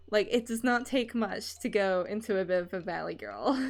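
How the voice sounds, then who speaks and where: Young Scottish-Canadian-accented woman rambling casually. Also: mid-range voice. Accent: mixed Edinburgh Scottish and Canadian. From Scotland. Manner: rambling and candid, with loose swearing. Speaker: streamer. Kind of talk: casual stream chat.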